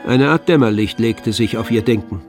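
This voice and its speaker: A man narrating a literary radio drama in a measured, grave manner. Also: fairly low pitch, a little mellow, faint music behind.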